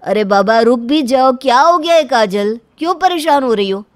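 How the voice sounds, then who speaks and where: A woman's voice: sits high, lively intonation, normal speed, dry recording. A woman voicing an exasperated young husband who tries to placate, in a cartoon story. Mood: placating. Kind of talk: Hindi cartoon story acting.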